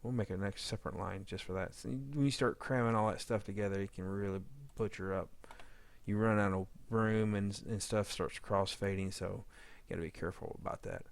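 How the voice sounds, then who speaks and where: Man, slightly deep voice, informal tutorial narration, thinking aloud, muttering while he works. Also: steady tone, fairly quick, gravelly. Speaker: audio drama editor giving a tutorial. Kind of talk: informal screen-demo narration.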